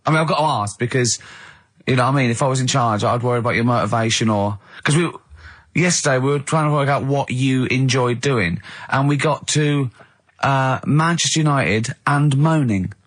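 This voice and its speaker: A man's voice: mid-range voice, normal speed, no room sound. A man co-hosting a radio show in casual, needling banter, probing with mock concern.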